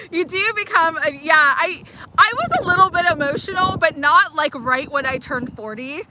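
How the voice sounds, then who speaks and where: A woman's voice: very high voice, expressive, measured pace, heavy background noise. A woman in her forties giving chatty, affirming oracle card readings on a livestream, laughing often between lines. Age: 40s. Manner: warm, chatty, giggly, affirming. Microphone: livestream mic.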